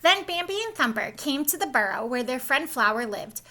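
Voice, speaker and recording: Female storyteller for young kids, high-pitched and bright, expressive read-aloud delivery. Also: normal speed. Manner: expressive, explains to young listeners. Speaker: storybook reader, school staff.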